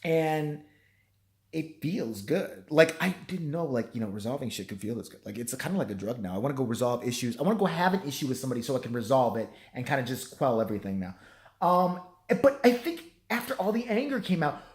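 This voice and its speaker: A tired man with a hoarse, nearly gone voice, rambling and swearing through a self-deprecating late-night vlog. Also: mid-range voice, sing-song, fairly quick. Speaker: vlogger.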